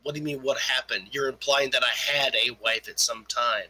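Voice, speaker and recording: A man's voice: mid-range voice, steady tone, a little fast, a loud crowd, rough recording. A man in his forties in a crude, joking online voice-chat argument, stammering and swearing a lot. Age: 40s. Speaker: debate stream participant.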